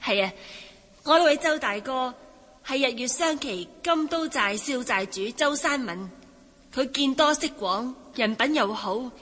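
An actress with a Cantonese accent playing a gentle, guarded young swordswoman who passes as a man, politely spoken, in a radio drama. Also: high voice, lively intonation, unhurried, heavily acted. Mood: polite, placating. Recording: reverberant hall, music behind.

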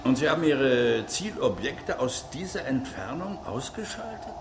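An actor playing an insistent, sceptical prosecutor, questioning in a formal, pressing way in a radio drama hearing. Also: male voice, mid-range voice, big pitch swings, a little slow, background noise. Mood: sceptical, accusing.

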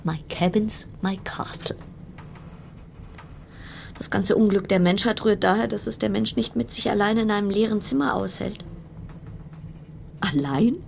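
An actress playing a brittle, self-reassuring woman isolated in a ship's cabin, musing haltingly and repetitively in in a radio play, at times dropping into whispers. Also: medium pitch, gravelly, a little mellow, a little hiss or hum. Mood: defensive, uneasy. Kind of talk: German radio play monologue.

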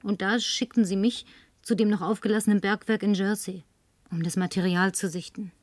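An actress in a German radio play playing a flirtatious, tipsy, insistent career woman with coquettish, languid phrasing and breathy murmurs. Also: mid-range voice, steady tone, fairly quick, dry recording, studio quality, natural voice. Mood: confiding.

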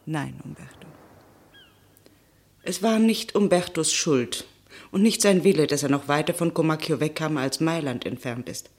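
A woman's voice: sits low, normal speed, a little mellow. An actress playing a weary, sorrowful working-class mother who also narrates plainly in a classic radio drama. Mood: tender, sad.

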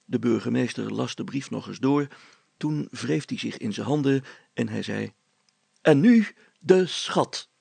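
A man's voice: medium pitch, normal speed, gravelly, dark tone, breathy edge, no echo. A man reading a story aloud as a scripted narration, as a storyteller does.

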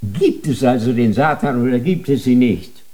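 A man's voice: medium pitch, moderate pace, warm tone, natural voice. An actor playing a stern male inquisitor of a holy tribunal, interrogating in a cold, formal manner in a radio drama.

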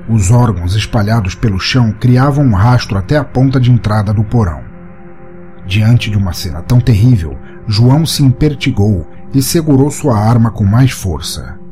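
A male podcast narrator reads fantasy fiction in a measured, dramatic manner. His voice is mid-pitched and mellow, with music playing behind it. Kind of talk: scripted short-story narration. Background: music under the voice.

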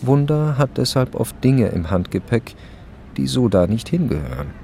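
An actor playing a dry, detached storyteller, giving understated literary narration in a radio drama. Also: male voice, medium pitch, big pitch swings, normal speed, vocal fry, dark tone, slight background noise. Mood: wry. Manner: dry, understated, matter-of-fact.

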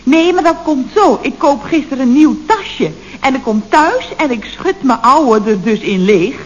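A high-voiced, chatty office secretary from a radio comedy around 1970. Her delivery is theatrical and swooping, with unhurried timing and a dry sarcastic edge. The recording is muffled and noisy. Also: expressive. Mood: gushing, chatty. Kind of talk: 1970s radio comedy acting.

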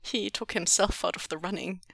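A woman's voice: fairly low pitch, normal speed, vocal fry, fairly bright tone, no echo. A woman reading aloud and voicing a smug young man who mocks his captors. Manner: smirking, mocking. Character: smug, defiant young man held captive.

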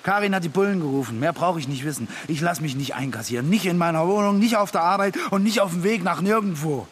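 A man's voice: on the high side, expressive, quick pace, husky. An actor playing a volatile, cynical working-class man in a German radio drama, confrontational and sarcastic. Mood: defiant.

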